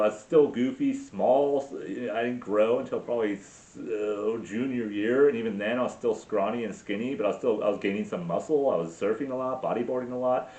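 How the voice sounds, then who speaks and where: A man's voice: lowish voice, darkish tone. A man in his forties rambling alone in a garage, profane and self-mocking, in a solo monologue. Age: 40s. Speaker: podcast host. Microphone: camera mic. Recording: roomy, dull lo-fi sound.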